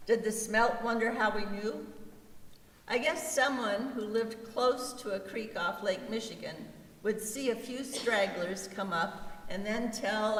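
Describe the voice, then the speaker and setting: Woman, unhurried slow narration, scripted storytelling, readers theater, distant echoey recording. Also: mid-range voice. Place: large reverberant hall. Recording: echoey hall, distant microphone.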